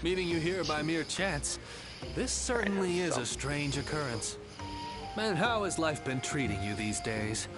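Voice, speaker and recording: An actor playing an eccentric, teasing philosophical strategist in a video game cutscene, speaking in a theatrical, riddling way. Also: male voice, a little high, lively intonation, a little slow. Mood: breezy, amused. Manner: theatrical, leisurely, riddling delivery. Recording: loud music behind the voice. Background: game music.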